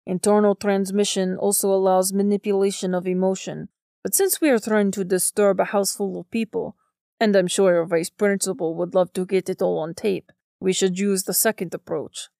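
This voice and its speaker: Woman voicing a suave, mischievous gentleman ghost, gravelly. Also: mid-range voice, little pitch movement, normal speed, dry recording, clean studio sound, lightly acted. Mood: suave, instructive. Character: gentlemanly male ghost, mischievous mentor in spooking.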